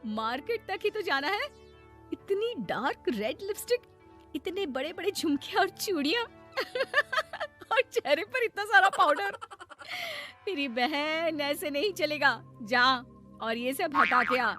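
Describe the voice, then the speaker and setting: A knowing older cousin from the city, played by a woman in a moral-story cartoon. Her high voice is patient and gently bossy, taking its time, over faint music. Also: lively intonation, slow pace, exaggerated voice. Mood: shocked, scolding. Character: kind, teasing older city cousin sister. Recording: music behind the voice.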